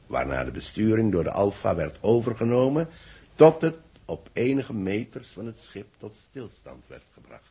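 A measured, thoughtful male chronicler with a deep, creaky and very husky voice. He speaks deliberately, in the style of a 1960s radio serial on an old recording. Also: a little mellow, exaggerated voice. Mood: grave narration. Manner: musing, deliberate. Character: thoughtful, measured man reviewing and narrating a space expedition. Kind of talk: 1960s radio drama acting.

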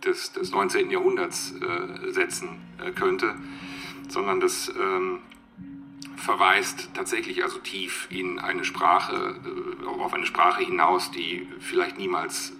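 A man's voice: sits low, little pitch movement, takes it slowly. A man giving a reflective, unscripted explanation as a literary expert, searching for words and often repeating them. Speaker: literary expert being interviewed. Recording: music behind the voice.

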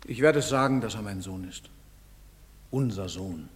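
A man's voice: lowish voice, a little slow, close to an everyday voice. An actor playing a tormented, guilt-ridden middle-aged father in a stage drama, with heavy, emphatic theatrical delivery. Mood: grim, defiant. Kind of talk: German stage-drama acting. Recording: long reverberant hall sound.

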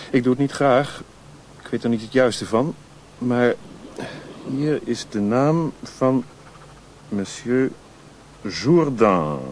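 An actor playing a world-wise, withdrawn man in a Dutch radio drama, speaking in a measured, reflective, cautionary way. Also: medium pitch. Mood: reserved, reluctant. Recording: noisy old radio play recording.

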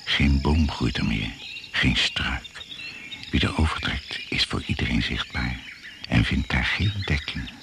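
A very deep, gravelly male narrator tells a jungle adventure radio play. He speaks in short, suspenseful phrases. Insects chirp through a very noisy recording. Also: measured pace, exaggerated voice. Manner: terse, suspenseful, clipped phrases. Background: crickets and jungle insects.

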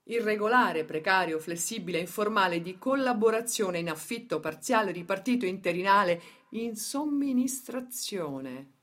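A woman acting as a grave storyteller in radio theatre, with measured phrasing and dramatic emphasis. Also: medium pitch, expressive, a bit bright, close to an everyday voice. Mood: emphatic listing. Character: grave female storyteller recounting workers' tragedies. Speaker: stage and radio actress.

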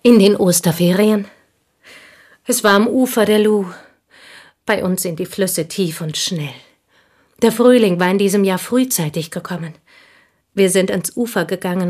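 An actress playing a plain, bitter woman in a radio play, confiding old envy in an intense, intimate way that often slips into breathy whispers. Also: on the low side, darkish tone. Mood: wistful. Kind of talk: German radio play dialogue, intimate monologue.

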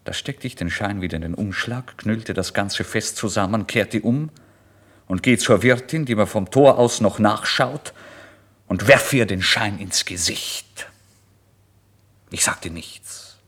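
Deep-voiced man narrating intensely. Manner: intense, theatrical, swinging from hushed to loud. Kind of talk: dramatic first-person literary narration.

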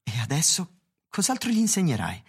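An actor playing an inquisitive, grave census taker in an Italian radio drama, with a husky voice. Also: medium pitch, huge pitch swings, normal speed, full character voice. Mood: probing. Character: Grant, inquisitive census taker roaming the hills, grave and puzzled.

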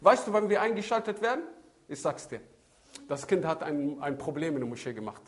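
A man, middle-aged, preaching a religious sermon in an animated storytelling way, acting out dialogues, repeating himself and asking rhetorical questions, with Arabic phrases mixed in. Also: on the high side, a little fast. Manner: code-switching into Arabic phrases. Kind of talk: religious sermon on raising children.

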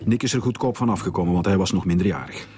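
Quick-talking Flemish police director, played by a man. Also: sits low, a little mellow, faint music behind, natural voice. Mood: brisk, official. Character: cautious, officious male police director. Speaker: actor in a radio serial. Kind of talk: radio drama acting.

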